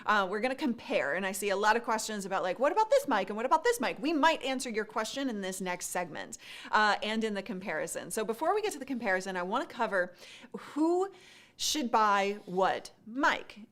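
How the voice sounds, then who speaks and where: A woman's voice: mid-range voice, sing-song, fairly quick, fairly bright tone. Mid-range female co-host, chatty and questioning, casual delivery. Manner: conversational, asks questions, many fillers. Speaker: streaming show co-host. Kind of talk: casual tech discussion.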